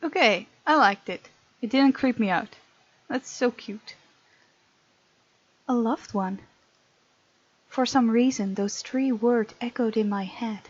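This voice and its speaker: A woman's voice: on the high side, takes it slowly, long pauses, muffled recording. A woman hosting a gaming let's play, reading aloud and adding chatty, casual asides. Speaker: gaming video host.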